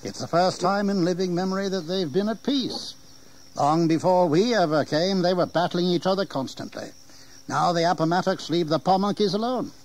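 An actor playing a genial, snobbish colonial governor in a historical audio drama, patrician and condescending. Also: male voice, sits high, moderate pace. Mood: dismissive, breezy. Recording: noisy 1980s audio drama tape.

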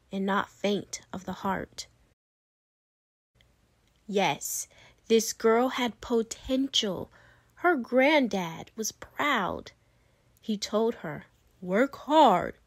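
A woman hosting a children's read-aloud, narrating rhyming storybook lines in an expressive, deliberate and emphatic way for young listeners. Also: on the high side, dry recording, clean studio sound.

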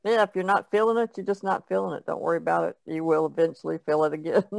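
A woman's voice: fairly low pitch, steady tone, fast talker, gravelly, darkish tone, no echo. A woman co-hosting a podcast, talking in a casual, conversational way. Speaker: podcast co-host. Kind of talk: casual chat.

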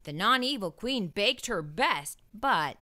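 A woman reading a children's storybook aloud as an expressive narrator. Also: mid-range voice, slow pace.

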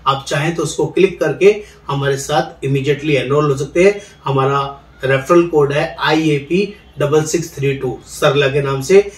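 Persuasive man, echoey distant room sound. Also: fairly high pitch. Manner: persuasive, salesy, addresses viewers as friends. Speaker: insurance agent recruiter, channel host. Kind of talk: direct-to-camera promotional explainer. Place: indoor studio, seated in office chair. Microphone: lapel mic. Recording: roomy echo, somewhat distant mic.

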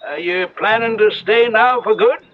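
A man's voice: high voice, moderate pace, natural voice. A man playing a kindly, folksy older country neighbour in a 1970s radio drama, speaking in a hesitant, homely way. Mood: neighbourly. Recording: dull, noisy old radio recording.

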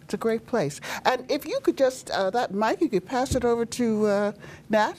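Older woman hosting, lively and animated. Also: moderate pace, slight background noise. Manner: laughs often, lively animated pitch. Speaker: talk show host. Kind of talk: warm studio interview chat. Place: TV studio with dark curtains.

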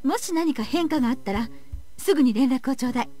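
A woman playing a calm, caring young female researcher in a visual novel game. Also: sits high, fast, soft background music. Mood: gentle. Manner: soft-spoken, polite. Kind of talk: Japanese visual novel voice acting.

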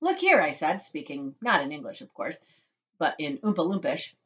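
A woman voicing an eccentric, excitable man who owns a chocolate factory, telling things theatrically and emphatically in a children's storybook. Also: deep voice, normal speed, lo-fi sound. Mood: enthusiastic.

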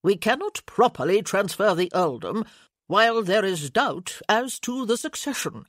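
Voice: mid-range voice, sing-song, a little slow, no room sound, clean studio sound. A storybook narrator voicing a puffy, over-eager little lord who prattles on insistently.

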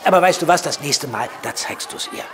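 A man's voice: on the high side, lively intonation, brisk, noisy background, natural voice. An actor playing a kind, encouraging male primary school teacher in a children's audio play.

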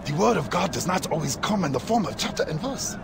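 An actor playing a zealous, middle-aged preacher haunted by sin in a video game, with a grandiose, sermonizing delivery. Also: male voice, a little high, very animated, brisk. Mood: grand, solemn. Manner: fervent, grandiose, quoting scripture like a sermon. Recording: game audio with clear outdoor ambience noise.

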